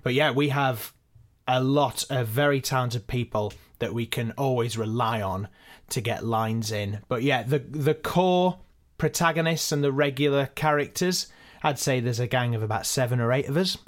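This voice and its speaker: A man's voice: medium pitch, moderate pace. A man, a podcast co-creator, answering listener questions in a casual, chatty, self-deprecating way. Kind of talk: casual behind-the-scenes Q and A.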